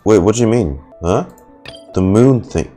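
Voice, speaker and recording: A man giving casual, halting live reaction commentary as he streams a video game, musing out loud. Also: on the low side, lively intonation. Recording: game music in the background.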